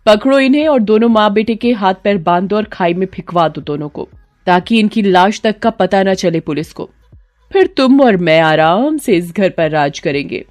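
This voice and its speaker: A woman's voice: medium pitch, animated, moderate pace, a little mellow, lightly acted. A woman voicing a rude, scheming young impostor daughter-in-law in a storybook tale, insolent, bossy and taunting, with music behind. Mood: menacing, gloating.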